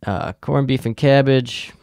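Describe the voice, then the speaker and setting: Tired young male podcast co-host, slow gravelly delivery, crude and laid-back, close dry mic. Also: medium pitch, a little mellow, dry recording. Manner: profane, laid-back, trailing off with fillers. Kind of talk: casual rambling monologue.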